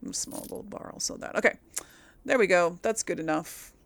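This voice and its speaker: A woman's voice: fairly low pitch, lively intonation, moderate pace, gravelly. A woman giving casual, chatty gameplay commentary as host, musing aloud and often trailing off. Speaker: gaming video host.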